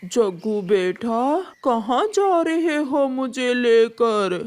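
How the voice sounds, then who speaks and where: A woman voicing a sick, gentle old father crow in a cartoon story, in a plaintive, drawn-out way. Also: on the high side, animated. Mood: weary, frail. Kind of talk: Hindi cartoon story acting.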